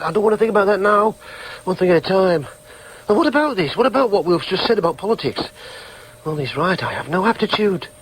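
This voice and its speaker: An actor playing an anxious, self-questioning young man in a radio drama, husky, with a fretful, stubborn manner. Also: a little high, fairly bright tone, low-quality recording. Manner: fretful, talks to himself in questions. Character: anxious, self-questioning grown son, stubbornly defending heredity. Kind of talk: naturalistic radio drama with interior monologue.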